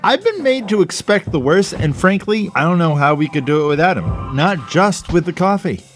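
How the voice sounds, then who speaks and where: An actor playing a good-natured, hesitant middle-aged police inspector in an audio dramedy, with a halting, polite way of talking. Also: male voice, high-pitched, big pitch swings. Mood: flustered, hesitant. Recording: music behind the voice.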